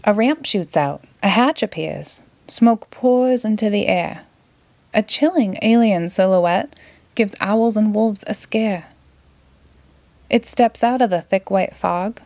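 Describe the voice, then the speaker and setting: Woman reading a storybook, Boston accent. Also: mid-range voice. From Massachusetts, United States. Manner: expressive, playful storytelling in rhyme. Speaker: storybook reader. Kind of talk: children's storytime read-aloud.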